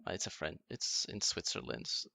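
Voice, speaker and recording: A man's voice: deep voice, very animated, a little fast, creaky, sharp tone, no room sound. A young man co-hosting a podcast, casually explaining history with lots of fillers. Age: young adult. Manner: explains events, loose with fillers. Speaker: podcast co-host.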